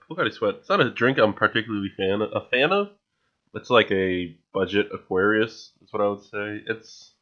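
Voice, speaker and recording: A man's voice: on the low side, muffled recording. A young man chatting casually and enthusiastically in a home vlog, stumbling over his words now and then as he shows off his grocery haul. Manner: chatty, enthusiastic, with frequent filler restarts. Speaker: vlogger. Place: small home room, indoors. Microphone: studio mic on a stand, wearing headphones.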